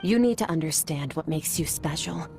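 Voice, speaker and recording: A calm, steady mentor. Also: female voice, low voice, vocal fry, slight background noise. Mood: encouraging. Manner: measured and steady. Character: calm woman mentor, composed and encouraging. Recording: game audio with faint music. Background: faint game music.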